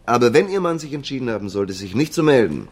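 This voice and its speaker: An actor playing a weary, dismissive police detective with an impatient, sardonic manner in a radio crime drama. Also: male voice, mid-range voice, very animated, fast, a little mellow.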